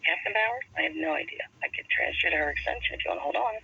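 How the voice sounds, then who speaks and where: Woman, police operator, quick pace, husky, procedural, muffled lo-fi telephone audio. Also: mid-range voice, sing-song, sharp tone, slight background noise. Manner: businesslike. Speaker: police dispatcher. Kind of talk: procedural phone call. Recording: muffled radio-like phone line.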